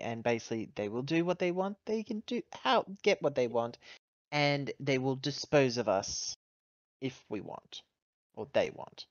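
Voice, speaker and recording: A player voicing a pragmatic, world-weary ship's officer with measured, plain speech in a tabletop sci-fi game. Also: medium pitch, no echo, dull audio, close to an everyday voice.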